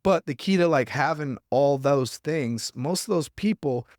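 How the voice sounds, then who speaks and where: A man's voice: fairly high pitch, lively intonation, a little slow, darkish tone, dry recording, clean studio sound. A man hosting a casual podcast, rambling off on tangents and filling his talk with "like" and "you know". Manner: rambling, tangents, filler-heavy. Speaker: podcast host.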